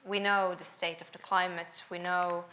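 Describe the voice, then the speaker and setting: A woman's voice: on the low side, little pitch movement, normal speed, pushed and bright. A young woman from Finland speaking at a panel event, earnest, often repeating the first word of a sentence. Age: young adult. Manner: earnest, doubles words when starting sentences. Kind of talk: panel talk. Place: event hall with audience.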